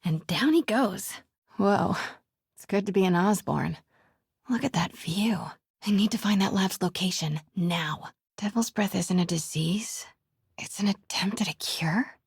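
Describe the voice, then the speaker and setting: A young woman reporter thinks out loud as she investigates in a video game. Her mid-pitched voice is soft and breathy, often hushed, with a caring, determined temper. The sound is close and dry. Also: no echo. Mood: determined, wry, then hushed astonishment. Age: young adult. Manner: natural, musing aloud, often dropping to hushed murmurs. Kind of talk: video game exploration dialogue, musing to herself.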